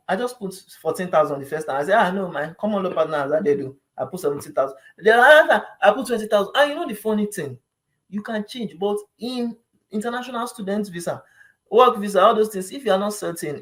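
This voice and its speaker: A man with a Nigerian accent speaks as an advice vlogger in an informal, explanatory style. His delivery is conversational and emphatic. He often repeats a phrase for weight and checks that the listener understands. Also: high voice.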